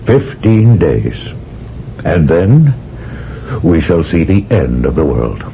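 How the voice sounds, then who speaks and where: An actor playing a solemn older general who briefs an agent in a measured, lecturing way, in a 1970s radio drama. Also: male voice, very deep voice, big pitch swings, full character voice. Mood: grave. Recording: dull lo-fi old radio broadcast with faint hiss.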